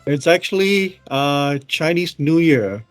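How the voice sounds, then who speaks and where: Man from Malaysia, fairly high voice, dry sound, chatty with lots of fillers, video call. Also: animated, slow pace, dry recording, soft background music. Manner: chatty, many fillers, laughs easily. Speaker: co-host of a tech show. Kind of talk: casual tech chat with screen demo.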